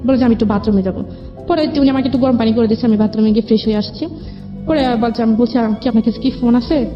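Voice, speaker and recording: Higher-voiced young Bangladeshi woman, muffled recording. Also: quick pace. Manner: colloquial, recounts dialogue as reported exchanges. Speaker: guest telling her life story. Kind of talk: personal storytelling in an interview. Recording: dull lo-fi sound with music underneath. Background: background music.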